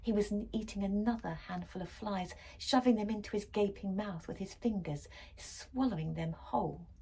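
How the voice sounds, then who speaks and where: A woman reading a children's story expressively and dramatically, shifting between hushed and emphatic delivery. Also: medium pitch, normal speed, vocal fry, breathy edge, poor audio. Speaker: story reader.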